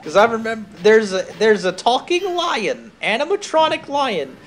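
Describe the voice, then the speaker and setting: A somewhat high-voiced man hosts a casual stream over faint background noise. He rambles from one aside to another, dropping academic words into loose chat. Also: big pitch swings, normal speed. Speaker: streamer and host.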